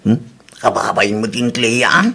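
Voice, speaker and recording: A man's voice: fairly low pitch, fairly even intonation, unhurried, vocal fry, bright, forceful tone, heavily acted. A man with an Ilocano accent playing a plain-spoken, concerned village husband in a conversational audio drama.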